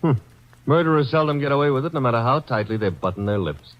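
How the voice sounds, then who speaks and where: An actor playing a breezy, wisecracking assistant to a detective, in 1940s radio acting. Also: male voice, mid-range voice, expressive, fast, natural voice. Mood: wry. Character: wisecracking young male sidekick, breezy and cocky. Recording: dull old radio broadcast recording.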